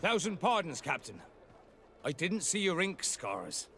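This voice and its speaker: Highish, animated officious guard. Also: male voice, a little slow, exaggerated voice. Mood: officious, deferential. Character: officious male guard, stern then apologetic. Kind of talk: video game voice acting.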